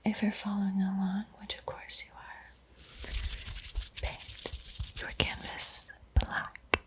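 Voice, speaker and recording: A woman whispers her way through an ASMR tutorial in a very breathy, airy voice. She goes slowly and softly, with a playful, teasing hint of spookiness. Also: medium pitch, completely flat delivery. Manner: soft, unhurried, playfully eerie and teasing. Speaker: art tutorial host.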